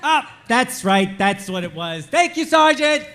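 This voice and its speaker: A man voicing a dim, childlike, earnest soldier in an animated comedy table read, naive and a little halting. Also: extremely high pitch, exaggerated voice. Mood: puzzled.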